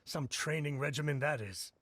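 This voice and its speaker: A man playing a blunt, gossipy and scornful townsman in a video game, with a husky voice. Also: fairly high pitch, normal speed, fairly bright tone. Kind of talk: video game dialogue acting. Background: game music.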